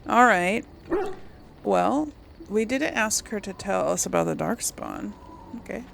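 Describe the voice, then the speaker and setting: A woman's voice: medium pitch, animated, a little slow, creaky, background noise. A woman giving casual let's play commentary as she role-plays through a video game. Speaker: gameplay streamer role-playing a character.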